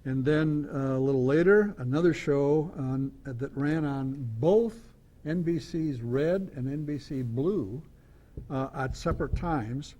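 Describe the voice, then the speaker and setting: A man interviewing a guest informally at a club meeting, haltingly working from notes and rephrasing his questions. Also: dark tone. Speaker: interviewer at a radio history club. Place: club meeting before a small audience. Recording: rumbly room noise behind the voice.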